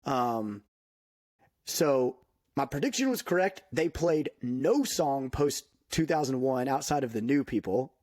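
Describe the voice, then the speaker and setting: A man in his thirties talks through a casual podcast chat in a mid-pitched voice. He is upbeat and loose, and keeps dropping in "um" and "like" as he goes. The recording sounds close and dry, with no room echo. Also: lively intonation, a little slow, darkish tone. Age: 30s. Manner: chatty and upbeat, full of "um" and "like" fillers. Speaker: podcast co-host.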